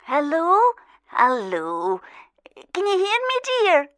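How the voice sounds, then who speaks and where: A woman's voice: sits high, huge pitch swings, slow pace, fairly bright tone, no room sound, studio quality, full character voice. An actress playing a chatty, homely elderly island woman with a Scottish accent in an audio drama. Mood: loud, confused. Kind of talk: audio drama acting, phone-in interview.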